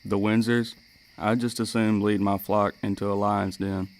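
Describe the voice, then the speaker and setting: A young man playing a gruff, ominous, muttering shepherd in an amateur radio play. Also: on the low side, moderate pace, natural voice. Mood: foreboding. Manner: flat, muttering.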